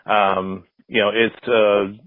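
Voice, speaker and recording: A middle-aged American man with a fairly low voice speaks casually as an interview guest. He rambles reflectively, pausing often between phrases. The sound is narrow and phone-like. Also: flat delivery. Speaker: interview guest, sports public address announcer. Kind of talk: casual conversational interview answers. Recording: roomy, slightly muffled phone-like line.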